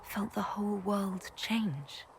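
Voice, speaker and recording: A woman playing a composed, direct young elven mage heroine in a video game, calm and soft-spoken. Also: mid-range voice, slow, slight background noise. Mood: softly teasing. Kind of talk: video game cutscene dialogue.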